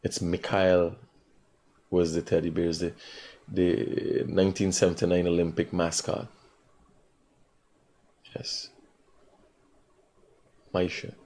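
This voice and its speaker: A Guyanese man in his forties with a low voice hosts a morning call-in show. He muses slowly on his own, then talks loud and fast when he argues with a caller. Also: flat delivery. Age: 40s. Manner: slow and reflective alone, loud and rapid when arguing. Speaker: radio call-in host.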